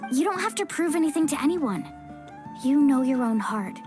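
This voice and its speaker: High-voiced confident young warrior woman. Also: animated, normal speed. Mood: reassuring. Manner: casual, encouraging, plain-spoken. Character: upbeat, confident, supportive young female warrior. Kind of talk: video game voice acting. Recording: music behind the voice.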